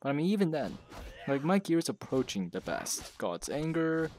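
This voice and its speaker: A man's voice: on the high side, sing-song, moderate pace, a little mellow, a little hiss or hum. A man with a sore throat from being sick, talking through a casual game guide in a candid, rambling way that corrects itself as he goes. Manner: candid, rambling, self-correcting, with brief laughs. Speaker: gaming video host. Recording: voice-over with clear background music.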